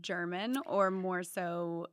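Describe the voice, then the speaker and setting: A woman hosting an interview podcast, reading a prepared intro and then asking soft prompting questions. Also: on the low side, level tone, takes it slowly, clean tone, no room sound, studio quality. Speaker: podcast host.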